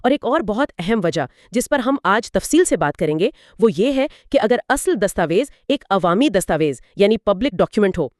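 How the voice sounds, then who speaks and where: A woman's voice: mid-range voice, big pitch swings, moderate pace, hardly any pauses, a little mellow, dry recording, studio quality. A woman, explaining legal points step by step with examples, as co-host of an educational dialogue.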